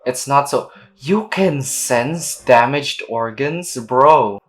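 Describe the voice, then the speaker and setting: A woman's voice: rumbling low, takes it slowly. A woman streaming a game, reacting in casual slangy commentary and reading dialogue aloud. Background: faint game music.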